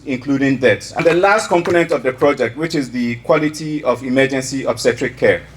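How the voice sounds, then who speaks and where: A man's voice: fairly high pitch, a little hiss or hum. A man reading a prepared formal speech as an organisation's representative. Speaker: NGO country representative.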